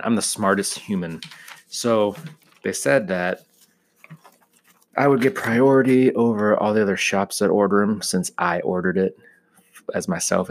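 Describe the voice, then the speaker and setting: A man's voice: sits low, vocal fry. An American man in his thirties rambling casually in a joking, self-deprecating way, full of exaggeration. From United States. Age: 30s. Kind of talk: casual rambling solo monologue.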